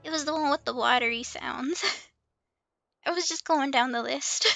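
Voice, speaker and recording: A woman's voice: sits high, a little slow, gravelly, bright, forceful tone, no room sound, soft background music. A woman livestreaming a game in casual chat, playful and giggly as she comments and reads out dialogue. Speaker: livestream host playing an online game.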